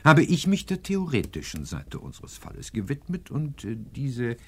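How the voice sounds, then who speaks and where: An actor playing a self-assured, learned professor-detective in a radio play, speaking formally and precisely. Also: male voice, medium pitch, huge pitch swings, normal speed, darkish tone, slightly rough, heavily acted. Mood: superior, satisfied.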